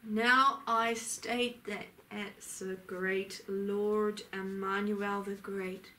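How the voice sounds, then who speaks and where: A woman delivering a channeled sermon, speaking as a divine lord in a solemn, declamatory way with frequent pauses. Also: mid-range voice, level tone, bright, forceful tone. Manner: solemn, declamatory, pausing often. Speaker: spiritual channeler.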